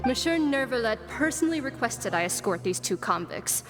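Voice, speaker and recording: Poised, high-voiced escort officer. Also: female voice, very animated, a little fast. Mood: lightly teasing. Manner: polite, composed, formal. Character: poised, polite female prison escort officer, lightly teasing. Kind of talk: game dialogue acting. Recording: loud game music behind.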